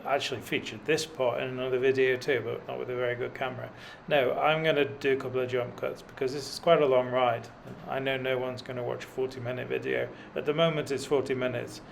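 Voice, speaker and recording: Gravelly, self-mocking middle-aged man. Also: medium pitch, level tone, quick pace, hardly any pauses, slight background noise. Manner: dry self-deprecating humour, many fillers. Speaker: cycling vlogger. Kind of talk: rambling self-deprecating commentary while riding. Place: outdoors on a mountain road.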